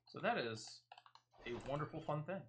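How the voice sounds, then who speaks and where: A man's voice: fairly high pitch, expressive, moderate pace, a bit bright, slight background noise. A man giving casual gameplay commentary, explaining his moves step by step as he plays and offering tips to viewers. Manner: explains step by step, talking through own actions. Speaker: game streamer and player.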